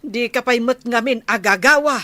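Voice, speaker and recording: A woman's voice: a little high, normal speed, bright, forceful tone, no echo. A woman playing an exasperated, nagging woman fed up with a freeloader, with an Ilocano accent, in a radio comedy drama. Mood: scolding. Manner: nagging, scornful.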